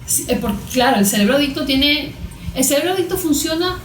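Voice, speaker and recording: A Venezuelan woman with a fairly high voice gives explanatory interview answers. She teaches as she talks, posing questions and then answering them. The room adds a clear echo around her voice. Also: animated, brisk, a little hiss or hum. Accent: Venezuelan Spanish. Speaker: guest therapist being interviewed. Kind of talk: explanatory interview talk with everyday examples. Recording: roomy, echoing room sound.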